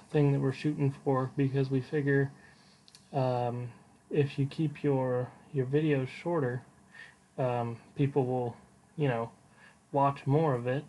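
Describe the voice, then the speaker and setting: Man, podcast host, flat intonation, halting unscripted talk, casual update, faint background noise. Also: mid-range voice, low-quality recording. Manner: informal, unscripted, halting delivery.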